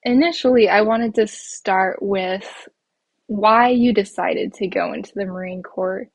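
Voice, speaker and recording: Husky young American woman interviewing, dry sound. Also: mid-range voice, unhurried, no echo. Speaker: podcast host and interviewer. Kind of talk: casual conversational interviewing.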